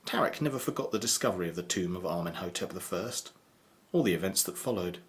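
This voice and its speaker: A grave storyteller narrates a horror audio drama. His voice is fairly low and highly expressive, yet composed and measured.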